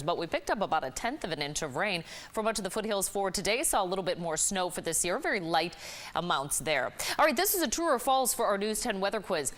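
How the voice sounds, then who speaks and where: A woman's voice: lowish voice, a bit bright. An American woman giving an ad-libbed TV weather report in a conversational, upbeat way. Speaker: TV weather presenter. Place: TV news studio.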